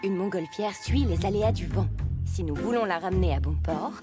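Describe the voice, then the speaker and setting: A woman's voice: mid-range voice, a little fast, natural voice. A woman voicing a patient, encouraging female scientist in a children's cartoon, explaining things step by step. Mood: explanatory, calm. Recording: loud music behind the voice.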